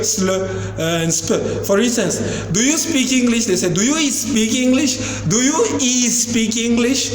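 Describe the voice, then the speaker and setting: Male teacher, high-pitched, patient drills of each sound, recorded in a reverberant room. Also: slight background noise. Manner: explains slowly, demonstrating sounds repeatedly. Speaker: English teacher. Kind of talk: pronunciation lesson.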